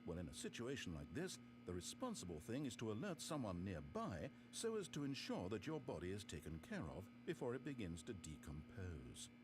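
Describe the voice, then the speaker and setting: An actor playing a pompous, sardonic and exasperated storybook narrator in a video game, speaking articulately in scripted narration. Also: male voice, fairly low pitch, wildly swooping pitch, fairly quick, few pauses, music in the background. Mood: scornful.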